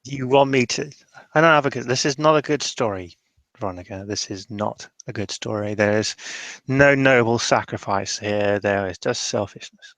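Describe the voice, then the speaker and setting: A man playing a reluctant, troubled man in a tabletop roleplay, guarded, bitter and self-deprecating, speaking in a halting, exasperated way. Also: medium pitch, fairly bright tone, no room sound, lightly acted. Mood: bitter, pleading.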